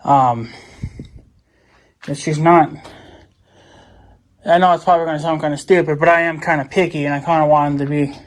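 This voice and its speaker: A man with a voice a little higher than most talks in a casual, unscripted vlog style. He rambles and thinks out loud as he goes, working through things in plain, mildly profane everyday speech. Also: fairly even intonation, normal speed, long pauses. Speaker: vlogger. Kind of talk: casual off-the-cuff DIY vlog chat.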